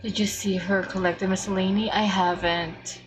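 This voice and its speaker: A woman giving casual live gameplay commentary as a streamer, halting as she thinks aloud. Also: medium pitch, fairly even intonation, music in the background. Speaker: game streamer.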